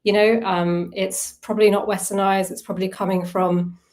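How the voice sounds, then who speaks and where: A woman's voice: mid-range voice, little pitch movement, moderate pace, a little mellow. A woman facilitating an informal online wellbeing workshop, talking conversationally, thinking aloud and putting questions to her listeners. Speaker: workshop facilitator on self-soothing.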